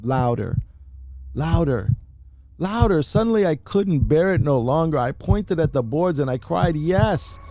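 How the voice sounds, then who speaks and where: Man's gravelly, mellow dramatic storytelling. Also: on the high side, lively intonation, no echo. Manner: theatrical, deliberate, with emphatic repetitions. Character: mad, anxious murderer confessing his crime. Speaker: amateur narrator reading a horror short story. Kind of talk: dramatic first-person story reading, audiobook-like.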